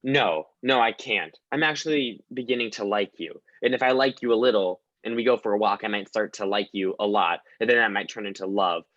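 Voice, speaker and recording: A man's voice: fairly low pitch, fairly quick, few pauses, fairly bright tone, dry recording, natural voice. Man acting fussy, exasperated detective, dry dull sound. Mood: flustered. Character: fussy, exasperated male police detective and dog owner. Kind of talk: comedic radio play acting.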